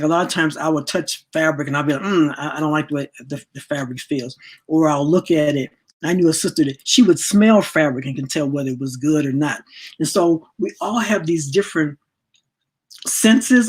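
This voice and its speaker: A woman's voice: sits low, husky. A woman in her late sixties giving a casual wellness workshop talk to her audience, chatty and repeating words as she searches for them. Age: 60s. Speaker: spiritual wellness teacher.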